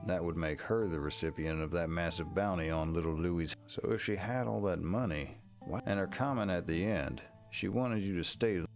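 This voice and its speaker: Male storyteller, deep voice, scripted Western mystery narration, second person, music underneath. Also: moderate pace, hardly any pauses. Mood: reflective.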